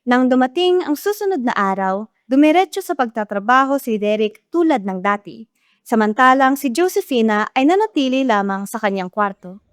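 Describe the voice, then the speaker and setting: A woman's voice: a little high, expressive, normal speed, no room sound, clean studio sound. A woman with a Filipino accent giving scripted storytelling narration for a radio drama.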